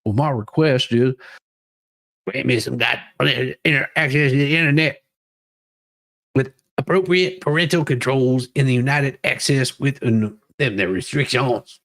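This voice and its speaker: A man giving a mock-dramatic reading as a demanding woman inmate pleading her own case, with halting, emphatic delivery. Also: medium pitch, a bit bright. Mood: insistent.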